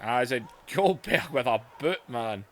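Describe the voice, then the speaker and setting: A man commentating a wrestling video game, shouting at big moves as his voice goes hoarse. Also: medium pitch, lively intonation, a little slow, slight background noise. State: voice going hoarse.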